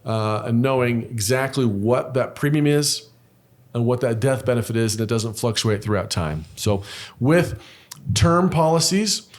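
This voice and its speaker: A middle-aged man talks through insurance options in a patient, methodical way, leaning on simple examples. His voice sits in an ordinary middle pitch. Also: animated, unhurried. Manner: patient, explains step by step with examples. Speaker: insurance broker co-host. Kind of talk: explanatory conversational chat.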